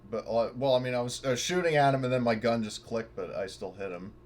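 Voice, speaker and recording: A man chatting casually as a tabletop game player, sweary and expressive. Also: medium pitch, fast talker.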